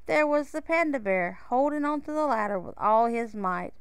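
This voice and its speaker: A woman reading a children's picture book aloud in a measured, expressive way, with pauses. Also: fairly high pitch, smooth, no room sound. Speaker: storybook reader.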